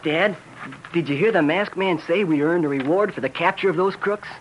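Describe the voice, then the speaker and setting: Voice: medium pitch, moderate pace, lightly acted, poor audio. An actor playing a plucky young American boy on a western ranch in a classic radio drama. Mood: earnest, proud. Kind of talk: 1940s-50s radio acting. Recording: old radio recording, faint noise.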